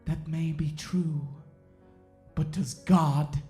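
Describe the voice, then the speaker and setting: An actor playing a stern, accusatory seventeenth-century sheriff in an audio drama, speaking stiffly and formally. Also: male voice, on the high side, steady tone, warm tone, faint music behind. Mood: accusing, menacing. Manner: stiff, formal, halting, deliberate. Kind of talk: period audio drama acting.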